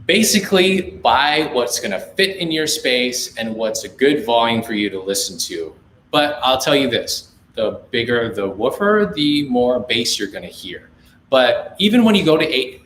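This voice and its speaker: Host, young adult man, American accent, easygoing advice from a home studio, roomy, faint hiss. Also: medium pitch. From India. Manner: relaxed, reads viewer comments aloud, then gives friendly gear advice. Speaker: livestream host, music producer. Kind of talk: casual live chat answering questions.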